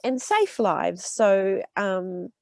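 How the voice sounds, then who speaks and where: A woman's voice: mid-range voice, sing-song, drawn-out words, no echo, clean studio sound. A New Zealand woman in her thirties, an urban health researcher with a cold and a stuffy nose, explaining to students with lots of ums and restarts. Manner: warm explaining with many fillers and restarts. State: congested with a cold. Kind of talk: talk to students.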